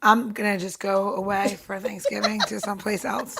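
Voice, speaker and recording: A woman's voice: mid-range voice, level tone, moderate pace, vocal fry, noisy background. A woman hosting a podcast who chats bluntly and breezily, then walks listeners through a cocktail syrup recipe. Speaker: podcast host, cocktail presenter. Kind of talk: casual chat and recipe walkthrough.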